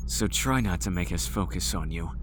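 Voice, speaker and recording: A man's voice: low-pitched, expressive, normal speed, background noise. A man playing an arrogant, contemptuous, overworked boss in a motion comic, with a condescending, taunting delivery. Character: arrogant, contemptuous, overworked male higher-up in a deadly game. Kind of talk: scripted motion comic voice acting.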